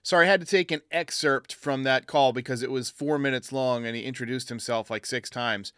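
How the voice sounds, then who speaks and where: A man's voice: medium pitch, fairly even intonation, a little fast, pushed and bright, clean tone, no room sound, clean studio sound. A man hosting a fan call-in sports show, giving blunt, emphatic opinions in a casual way.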